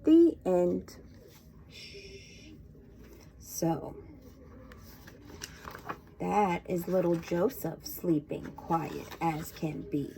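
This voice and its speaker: A woman reading picture books aloud to young children in an expressive, sing-song, encouraging way, often putting questions to her listeners. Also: lowish voice, very slow, long pauses, a little hiss or hum, poor audio. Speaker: children's storytime reader and teacher.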